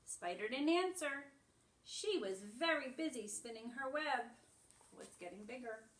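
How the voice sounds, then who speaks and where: A woman with a fairly high voice speaks as a preschool teacher, explaining things simply and reading aloud for small children. She is a little far from the microphone, and the room echoes clearly around her. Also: animated, normal speed. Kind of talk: simple lesson and read-aloud storytelling for young children.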